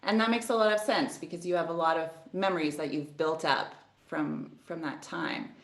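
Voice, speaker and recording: A woman's voice: sits low, normal speed, roomy sound, slight background noise. A woman hosting a casual video-call interview, asking friendly, encouraging questions. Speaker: host, pianist.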